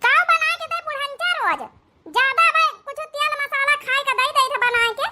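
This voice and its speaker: A woman with an Awadhi accent voicing a defiant, plotting village daughter-in-law in a comedy cartoon, brisk and bickering. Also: extremely high pitch, heavy background noise.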